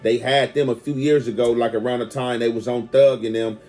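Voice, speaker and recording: A male online commentator with an American accent talks in a casual, unscripted way. His phrasing is slangy and conversational, and he keeps checking that the listener follows. His voice sits at a middle pitch. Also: fairly even intonation, a little fast, darkish tone, faint music behind. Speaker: online video commentator and host.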